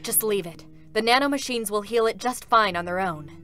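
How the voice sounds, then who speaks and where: A woman's voice: medium pitch, expressive, a little fast, sharp tone, soft background music. A woman voicing a stoic female combat android in a dramatic reading, formal, serious and restrained, with sorrow held back. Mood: curt, composed.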